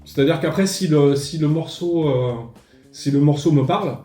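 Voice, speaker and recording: A man's voice: a little high, moderate pace, mellow, roomy sound, faint music behind. A man in his forties from Paris, a musician guest talking casually with many hesitations and restarts. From Paris, France. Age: 40s. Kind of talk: casual interview chat.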